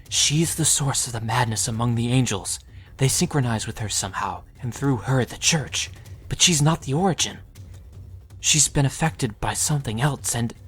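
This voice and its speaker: A man playing a young mind mage in a fantasy audio drama, halting and hushed, urgent and persuasive. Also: mid-range voice, husky, lightly acted.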